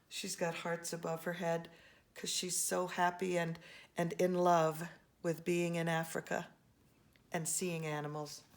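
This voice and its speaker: A woman reading a children's storybook aloud in an expressive, animated way, speaking to young listeners. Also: on the low side, takes it slowly. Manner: expressive, with gasps and brief asides to listeners.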